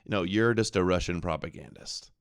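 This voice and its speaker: A man's voice: low voice, moderate pace. Sarcastic man reacting to a clip. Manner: sarcastic, mocking, argumentative. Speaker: show host reacting to a clip. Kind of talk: live reaction commentary.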